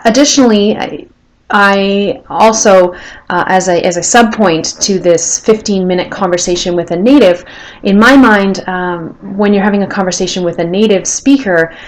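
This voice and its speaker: A woman in her thirties with a Canadian accent, earnestly introducing herself as a language learner in a personal video and pausing now and then to rephrase. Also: medium pitch, poor audio. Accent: Canadian English. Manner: earnest, thoughtful, pauses to rephrase.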